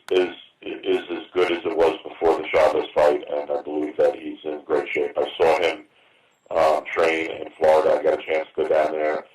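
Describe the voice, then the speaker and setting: A man in his fifties with a very deep voice answers as a promoter on a conference call. His delivery is even and halting, with repeated words. The line is roomy and distant. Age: 50s. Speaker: boxing promoter taking reporters' questions. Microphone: phone on a conference call. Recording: roomy, distant, noisy teleconference phone line.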